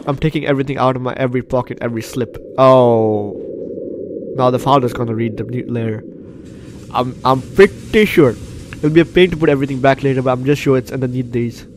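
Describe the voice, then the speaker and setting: A man hosting a gameplay video, reading game text aloud and breaking in with expressive reactions. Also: medium pitch, fairly quick, background noise. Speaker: gaming video host.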